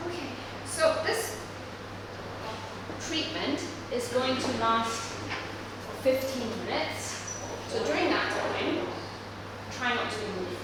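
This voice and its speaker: Female clinical instructor, step-by-step explainer with check-ins, high pitch, distant recording with loud background noise. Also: lively intonation, slow pace, long pauses, heavy reverb, big-room sound. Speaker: physiotherapy instructor demonstrating a treatment. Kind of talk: instructional demonstration, talking to a patient.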